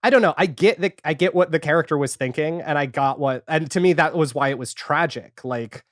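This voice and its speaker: A man in a casual, animated pop-culture chat as a podcast guest, talking informally and acting out imagined remarks. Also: fairly high pitch, a little fast, no room sound, studio quality.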